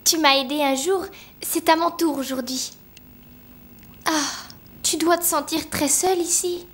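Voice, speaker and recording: A woman's voice: sits high, a little slow. A woman voicing a timid but loyal schoolgirl in a French cartoon dub, meek and earnest in manner. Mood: caring.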